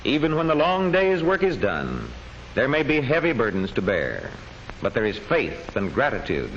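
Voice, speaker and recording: A man narrating an old educational history film from a script, in measured, declamatory storytelling phrases. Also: mid-range voice, wildly swooping pitch, fairly bright tone. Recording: dull old film soundtrack with faint noise.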